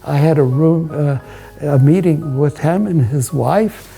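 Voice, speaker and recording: An American man gives a promotional interview as a business owner, with soft music behind him. His voice is relaxed and mellow with a noticeable fry. He talks in measured, halting short phrases, as if recalling things one piece at a time. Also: on the high side. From United States. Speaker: hotel owner and investor. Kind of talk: promotional interview, personal recollection. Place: indoors, hotel suite.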